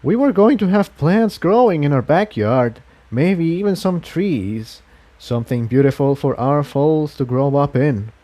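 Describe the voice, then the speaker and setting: Guy doing a weary engineer pony from a fantasy audiobook, earnest and confiding, voice a bit high. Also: animated, unhurried, dark tone. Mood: wistful, confiding. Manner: reflective, confiding, emphatic.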